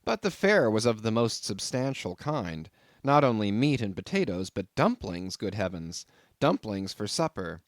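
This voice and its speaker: A man reading a classic novel aloud as scripted narration, in a measured, expressive storytelling manner. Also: lowish voice, dry recording, clean studio sound. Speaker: audiobook narrator.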